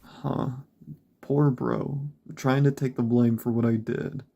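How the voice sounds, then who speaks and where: Man playing a gravelly, slow-talking teenage boy. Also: medium pitch, flat delivery, dark tone. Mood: resigned, cool. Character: teenage brother coolly taking the blame. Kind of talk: amateur creepypasta dramatized reading.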